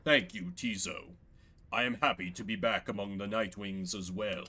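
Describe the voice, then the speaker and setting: A man voicing a courteous, fatherly older male leader in a fantasy game, speaking formally and with measured eloquence. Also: on the low side, pushed and bright. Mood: fond.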